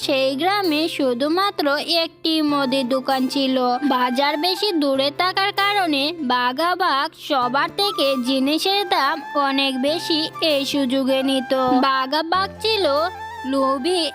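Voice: high voice, measured pace. A narrator telling a scripted children's cartoon story. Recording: music under the narration. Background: children's music.

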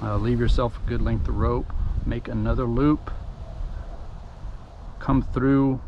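A middle-aged Texan man talks through a do-it-yourself project in an easygoing, folksy way, full of ums and uhs. His voice sits at an ordinary middle pitch. The recording comes from a camera worn on his head outdoors, with clear noise from the surroundings and passing vehicles behind him. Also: steady tone, slow pace, highs cut off. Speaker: DIY tutorial host. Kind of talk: casual step-by-step how-to narration. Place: outdoors at a pickup truck tailgate. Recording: noisy outdoor camera audio.

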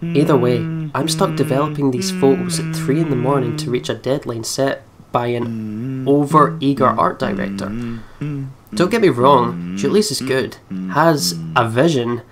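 Male gamer, mid-pitched, narrating visual novel text aloud in a storytelling style. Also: normal speed, over music. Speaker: let's play co-host reading aloud.

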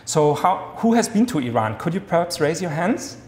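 A man in his thirties with a German accent gives an enthusiastic academic lecture from a podium, talking fast with many fillers and restarts. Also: a little high, big pitch swings, darkish tone. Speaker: guest lecturer. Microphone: podium mic. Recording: echoey lecture hall, long reverb.

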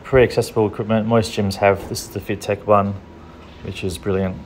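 A man's voice: fairly low pitch, a little fast, a little hiss or hum. Laid-back man coaching strongman. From Australia. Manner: relaxed, practical, plain-spoken. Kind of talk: casual training tutorial voice-over.

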